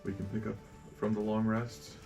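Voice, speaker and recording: A man narrating as game master of a tabletop roleplaying game, explaining things in a casual, halting way. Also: lowish voice, flat delivery, over music.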